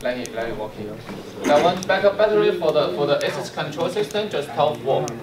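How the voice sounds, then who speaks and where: A young man, a non-native English speaker, explaining technical steps in a workshop with halting, fragmented phrasing. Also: fairly high pitch, lively intonation, crowd noise. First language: not English. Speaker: trainer. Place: indoor workshop room with small audience. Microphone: distant camera microphone. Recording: roomy, far from mic, noisy room.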